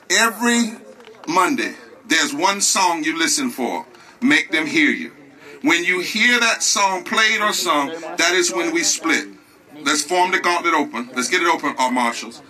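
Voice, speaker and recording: A man with a high, strikingly bright and piercing voice leads an outdoor protest rally. He speaks in a rousing, preacher-like cadence, building each line toward the crowd. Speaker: rally leader.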